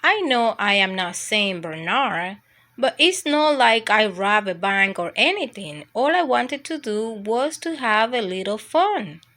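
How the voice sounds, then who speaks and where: A woman's voice: medium pitch, very animated, measured pace, pushed and bright, exaggerated voice. A woman voicing a mischievous, adventure-hungry dog who tells its own storybook escapade. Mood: cheeky, excited. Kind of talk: storybook first-person narration.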